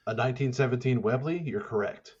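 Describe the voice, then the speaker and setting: A game player with a medium-pitched voice improvises a tabletop roleplay scene. The delivery is casual and full of teasing jokes. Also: quick pace, darkish tone, husky, dull audio.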